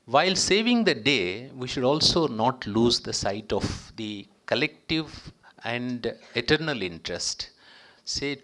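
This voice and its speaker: A man from India with an Indian accent delivers a public speech as a guest diplomat. His mid-pitched voice is formal and emphatic. He sets out his points in short, deliberate phrases, as one addressing a gathering of professionals. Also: fairly bright tone.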